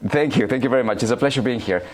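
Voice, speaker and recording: A man's voice: medium pitch, racing. A man interviewing a guest, asking questions politely and encouraging him along.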